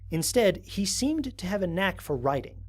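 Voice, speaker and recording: A man playing an observant, analytical space explorer, narrating formal mission logs in a measured, precise way for an audio drama. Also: high-pitched, very animated. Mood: curious, wry. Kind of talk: scripted first-person audio drama narration.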